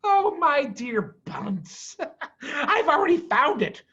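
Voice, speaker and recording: A man's voice: very high voice, big pitch swings, takes it slowly, pushed and bright, dull audio, voice pushed up, full character voice. A man voicing a crafty, bossy villainous farmer in a storybook, scheming and commanding. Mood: smug.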